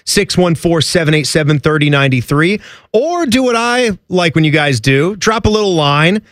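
A man's voice: a little high, lively intonation, fairly bright tone, no echo, studio quality. A man co-hosting a sports radio show, casually joking and reading listener email aloud. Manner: jokey, reads letters aloud, quick comebacks.

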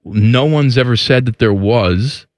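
A man's voice: mid-range voice, measured pace, dry recording, studio quality. A man hosting a casual sports talk show, mocking and sarcastic in tone.

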